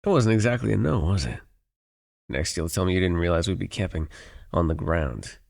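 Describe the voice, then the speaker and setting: Casting: gruff wilderness guide for an ASMR roleplay, played by a man; very deep, husky, dry and teasing with the client. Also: expressive, brisk, a little mellow, full character voice. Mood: incredulous, exasperated. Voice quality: low, gravelly, husky. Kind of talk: ASMR audio roleplay, one-sided dialogue addressed to the listener.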